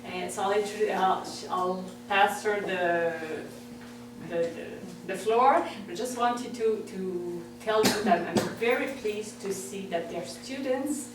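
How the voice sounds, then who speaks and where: A woman's voice: mid-range voice, noisy background. A woman introducing a guest speaker, hesitant with restarts. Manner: hesitant, halting. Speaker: host, board president. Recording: echoey hall, mic far off.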